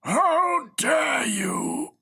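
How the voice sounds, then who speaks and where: A man voicing an eccentric, indignant elderly lord with a creaky, rasping voice and dramatic delivery in a comic audiobook. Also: very high-pitched, very animated, extremely slow, bright, forceful tone, voice pushed up, full character voice. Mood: indignant, haughty. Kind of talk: comic audiobook character reading.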